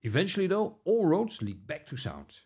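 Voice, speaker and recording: A man narrating a scripted product review as an audio gear reviewer, with measured, deliberate phrasing. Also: on the high side, huge pitch swings, darkish tone, husky, soft background music.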